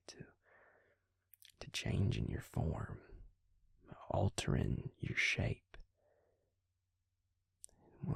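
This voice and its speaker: Man playing a male vampire, patient, grave, half-whispered, very slow, hesitant, intimate audio roleplay, close and dry. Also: no room sound, heavily acted. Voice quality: husky, breathy, gravelly low voice. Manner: hushed, hesitant, deliberate, with pauses. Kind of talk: intimate scripted audio roleplay, speaking to listener.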